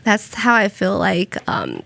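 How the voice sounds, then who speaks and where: Gravelly-voiced woman. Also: mid-range voice, huge pitch swings, slow, fairly bright tone, no echo. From Vietnam. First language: Vietnamese. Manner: reflective, with many fillers and restarts. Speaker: podcast guest. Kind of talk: personal storytelling in conversation.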